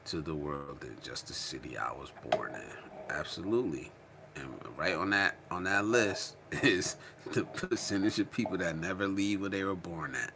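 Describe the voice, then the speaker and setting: A man's voice: extremely low pitch, background noise. An American man chatting casually as a livestream host, slangy and informal, repeating phrases for emphasis. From Connecticut, United States. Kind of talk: casual chat, livestream commentary answering viewer comments.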